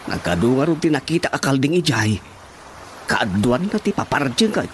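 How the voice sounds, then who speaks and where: A man's voice: medium pitch, sing-song, normal speed, slight background noise, close to an everyday voice. A man acting a chatty village councilman in a comic radio drama, with an Ilocano accent. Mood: chatty, genial. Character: chatty village councilman talking shop with watchman.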